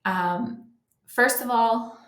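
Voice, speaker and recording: A woman's voice: a little high, extremely slow, fairly bright tone. A woman from the United States giving a friendly, informal webinar presentation to travel agents, with frequent ums and restarts. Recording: roomy echo.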